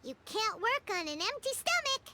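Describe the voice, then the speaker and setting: A woman voicing a tiny, childlike, excitable fairy companion in an anime-style video game, with exclamatory speech. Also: moderate pace, heavy background noise. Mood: chatty. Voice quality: squeaky, childlike. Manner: refers to herself in third person, exclamatory.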